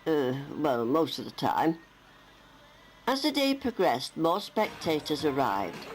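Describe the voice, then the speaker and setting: Narrator: woman, low pitch, animated scripted newsreel read with dry wit, music underneath. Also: takes it slowly, low-quality recording. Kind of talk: scripted narration with light wry humour.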